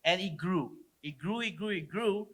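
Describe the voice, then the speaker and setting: A man's voice: high-pitched, normal speed, a bit bright, low-quality recording. A man with a Singaporean accent, speaking casually as a panelist at a conference, explaining things loosely and often tagging on questions. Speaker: panelist, platform co-founder.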